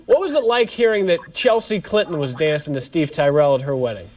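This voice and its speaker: High-voiced upbeat morning news host. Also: male voice. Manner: upbeat, effusive, quick to laugh. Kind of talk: live broadcast interview.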